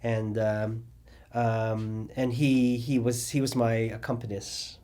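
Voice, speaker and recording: Middle-aged man, animated storyteller, lots of fillers, mid-range voice. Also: medium pitch, slow. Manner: rambling, animated storytelling with many fillers and restarts. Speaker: interviewee, cabaret singer and drag performer. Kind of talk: oral history interview.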